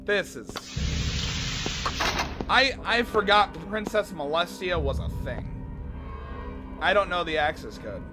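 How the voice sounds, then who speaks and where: A young man in his twenties with a hoarse, sore throat, casually commentating a game from a small bedroom, swearing often and reacting loudly. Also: high-pitched, animated, slow pace, pauses often, loud music behind the voice. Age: 20s. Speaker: gaming YouTuber. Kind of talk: casual let's play commentary. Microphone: desk mic, webcam in corner.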